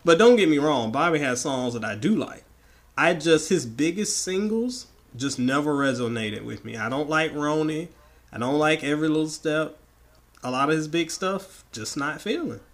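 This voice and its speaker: A man with a slightly raspy voice from the tail end of a flu, bantering emphatically and playfully as an opinionated podcast co-host. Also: fairly high pitch, lively intonation, normal speed. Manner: playful, emphatic, repeats words for effect. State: getting over the flu.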